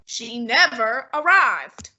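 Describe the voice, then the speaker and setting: Fussy bossy fat detective , girl playing him, breathy, lively up-and-down voice, radio play. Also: on the high side, highs cut off, heavily acted. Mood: commanding. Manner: haughty, deliberate, commanding. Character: imperious fussy heavyset male detective, middle-aged. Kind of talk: student radio drama acting.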